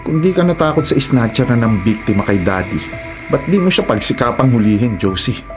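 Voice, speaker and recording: A righteous husband, played by a male radio actor, speaking at a middle pitch over clear background music. He is confrontational when wronged yet respectful to his elders, in the heightened manner of Filipino radio drama. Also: sing-song, a little fast, lightly acted. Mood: concerned, insistent. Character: indignant, righteous husband of a policewoman.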